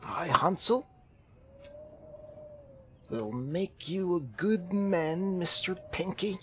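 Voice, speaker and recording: A man acts out an eccentric, earnest fellow counseling someone in a survival roleplay game. His voice sits a bit high and moves slowly, halting and awkwardly repeating itself, over faint background noise. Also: lively intonation, exaggerated voice. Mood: earnest, determined. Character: hesitant, eccentric, earnest man playing amateur therapist.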